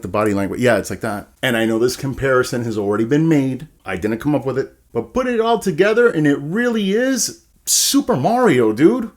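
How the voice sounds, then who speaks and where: A man giving a casual, ranting film review in an animated, sarcastic, chatty way. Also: mid-range voice. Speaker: movie reviewer.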